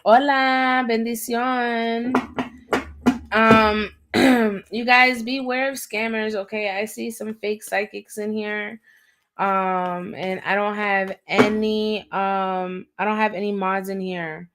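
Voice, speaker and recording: A woman with a raspy, slightly hoarse voice, talking casually and in slang as she gives an intuitive reading on a livestream, often checking in with her listeners. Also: medium pitch, little pitch movement, slow, very noisy. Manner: slangy, conversational, often seeking agreement with "right?" and "okay?". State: hoarse, going easy on throat. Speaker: livestream tarot reader, host.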